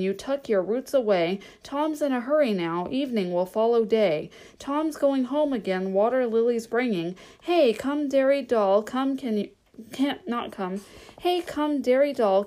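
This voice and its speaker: Woman acting carefree old forest fellow, storybook, loud singsong, jolly nonsense, raised pitch.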